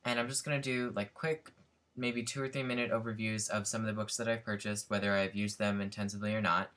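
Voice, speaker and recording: A man's voice: lowish voice, pushed and bright. A young man casually reviewing a language book for his vlog, rambling with lots of fillers and restarts, recorded on a built-in computer mic at his desk. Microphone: built-in computer webcam mic.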